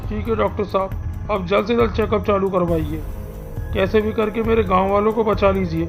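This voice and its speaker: A performer acts out a village headman in a cartoon story, earnest and protective. The voice has a gravelly creak and speaks emphatically, with music playing behind it. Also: mid-range voice, normal speed, close to an everyday voice. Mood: earnest, resolute. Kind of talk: animated Hindi story dialogue.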